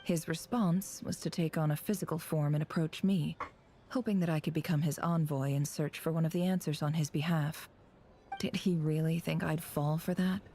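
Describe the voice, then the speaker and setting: Game spy: guarded, cynical, low mellow tone, measured wry delivery, faint music underneath. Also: female voice, steady tone, a little hiss or hum. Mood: scornful. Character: sly, guarded, cynical female intelligence operative. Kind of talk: dramatic video game voice acting.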